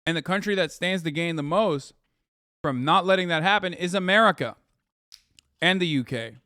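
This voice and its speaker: A fairly high, smooth male voice recorded close and dry, as a streamer reacts to an article. He rants with heated sarcasm and frequent swearing, pausing on fillers before driving his point home. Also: pushed and bright, no room sound. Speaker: streamer host. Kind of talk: live stream reaction commentary.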